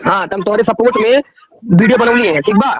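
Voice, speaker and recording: A man's voice: high-pitched, a little fast, dry recording. A man with a Bhojpuri accent talking casually on a recorded phone call. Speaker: person taking a phone call, offering support.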